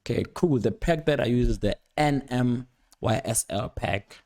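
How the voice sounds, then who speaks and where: A young man giving a casual, unscripted music production tutorial, rambling playfully in slangy speech with excited interjections. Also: mid-range voice, moderate pace, background noise. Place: indoor home studio at a computer. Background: loud instrumental beat and instrument sounds playing.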